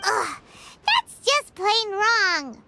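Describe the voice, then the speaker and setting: A woman voicing a small, childlike fairy companion in an anime game, cutesy and inquisitive with a squeaky voice. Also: sing-song, drawn-out words, full character voice. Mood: dismayed. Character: small childlike fairy companion, curious, naive, chirpy, talks in third person. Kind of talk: anime video game voice acting. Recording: loud music under the voice.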